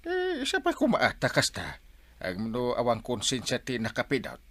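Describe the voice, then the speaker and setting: Radio comedy lead: excitable, kindly Ilocano barangay captain, played by a man, extremely animated swings in pitch, exclamatory. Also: normal speed, exaggerated voice. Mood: concerned. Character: kindly, excitable village captain who helps everyone. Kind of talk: Filipino radio comedy drama acting.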